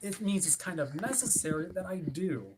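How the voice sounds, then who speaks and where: Casting: actor playing chipper, rule-bound watch officer, over-polite and wordy, lively intonation, slight noise on a home stream. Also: medium pitch, normal speed, natural voice. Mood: politely insistent. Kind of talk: staged reading of a play over video stream.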